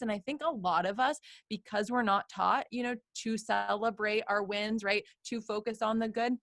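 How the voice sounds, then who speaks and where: A woman's voice: medium pitch, fairly bright tone, no room sound, clean studio sound. A woman hosting a podcast as a coach, chatting in an upbeat, encouraging way and often checking in with "right?". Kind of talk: casual interview chat. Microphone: video call.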